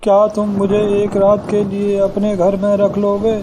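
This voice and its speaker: A man voicing a humble, pleading old beggar with an earnest manner in an animated moral story. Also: high voice, a little slow, noisy background.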